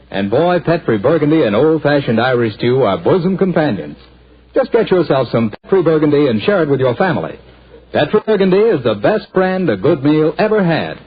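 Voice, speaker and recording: A man's voice: fairly high pitch, slightly rough. A man with an American accent, announcing on a 1940s radio show in a folksy, salesy, upbeat manner. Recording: muffled 1940s radio broadcast.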